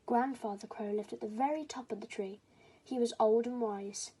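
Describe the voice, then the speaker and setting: A woman's voice: a little high, moderate pace, warm tone, husky. A woman reading a children's story aloud as scripted storybook narration.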